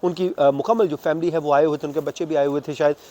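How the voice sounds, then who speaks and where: A man's voice: a little high, fairly even intonation, fast talker, dark tone. A man with a Pakistani accent giving an unscripted, conversational news account as a court reporter, going through things step by step. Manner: conversational, detailed step-by-step recounting with English legal terms mixed in.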